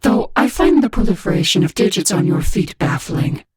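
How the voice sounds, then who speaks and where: Low, husky pitch-shifted robot. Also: female voice, moderate pace, dry recording, studio quality. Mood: detached, monotone. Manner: monotone, detached. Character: artificial robot, cool and detached. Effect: pitch-shifted down robot effect. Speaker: voice actress. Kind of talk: video game voice line.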